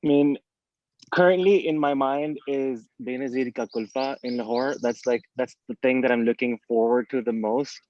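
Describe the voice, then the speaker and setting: A man's voice: medium pitch, fairly even intonation, moderate pace, a little mellow. A man speaking as a panel guest, eager and rambling with lots of fillers. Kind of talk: casual panel chat. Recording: dull lo-fi audio.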